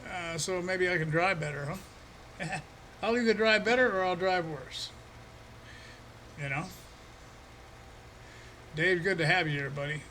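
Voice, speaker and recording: A man's voice: high-pitched, a little slow, pauses often, bright, forceful tone, a little hiss or hum, poor audio. Easygoing streamer man with a froggy throat. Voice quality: froggy, slightly hoarse throat. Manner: easygoing, chuckling, talks to chat by name. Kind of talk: casual live-stream chat while playing a farming game.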